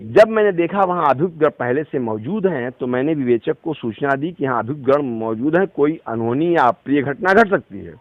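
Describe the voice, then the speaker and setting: A man's voice: a little high, expressive, fairly quick, hardly any pauses, no echo, narrow, tinny audio. A man giving a formal, emphatic statement as an advocate in an interview. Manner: formal, emphatic legal phrasing. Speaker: advocate giving a victim's account. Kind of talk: phone interview statement, recounting a complaint.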